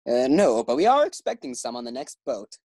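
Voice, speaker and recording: A woman's voice: extremely low pitch, big pitch swings, fairly quick, a little mellow, slightly rough, no echo, studio quality, heavily acted. A woman playing an eager, bumbling young husband in a 1940s-style radio comedy. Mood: nervous. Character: young husband George, eager bank clerk.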